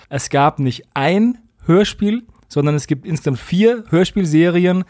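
A man's voice: fairly high pitch, a little mellow, no echo, clean studio sound. A man hosting a podcast in a casual, wry way. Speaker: podcast host.

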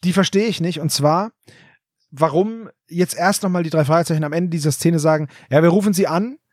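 A man's voice: a little high, brisk, no room sound, studio quality. A man co-hosting a podcast, chatting casually and animatedly about an audio drama. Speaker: podcast host.

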